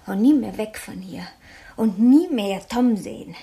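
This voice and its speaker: An actress in a German radio drama playing a traumatised, childlike, fearful woman who speaks haltingly and repeats herself. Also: mid-range voice, lively intonation, warm tone. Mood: weary, withdrawn. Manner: halting, repetitive, childlike storytelling. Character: traumatised young woman living under her dead double's name, childlike, fearful.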